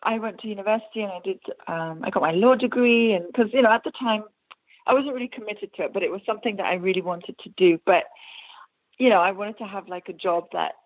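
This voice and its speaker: A woman's voice: mid-range voice, quick pace. A woman from Iran with an English accent, an actress chatting casually in an interview, with many fillers and frequent laughs. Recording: tinny phone-like line.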